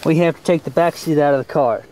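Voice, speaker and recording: A man's voice: on the high side, quick pace, warm tone, a little hiss or hum, low-quality recording. A man giving a casual hands-on tutorial in a folksy, plain-spoken way, as host of a homemade how-to video. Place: outdoors in and around a car.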